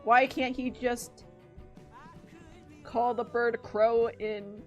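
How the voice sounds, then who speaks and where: A woman streaming as she draws, chatting casually in an animated, gushing way and swearing freely. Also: fairly high pitch, extremely slow, muffled recording, faint music behind. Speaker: streamer and artist drawing live. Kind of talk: casual livestream chat.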